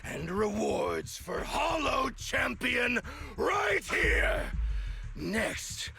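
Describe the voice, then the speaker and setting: An actor playing a taunting, manic young villain in a video game, theatrical in manner, moving between hushed drawl and loud proclamation. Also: male voice, extremely high pitch, sing-song, drawn-out words, creaky, husky, heavily acted. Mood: manic, proclaiming.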